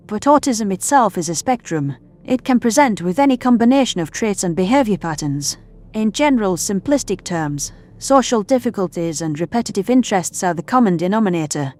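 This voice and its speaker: A woman playing a patient human scientist in an audio drama, explaining things in a measured, firm way. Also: mid-range voice, animated, darkish tone, close to an everyday voice. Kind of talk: audio drama character acting. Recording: music under the voice.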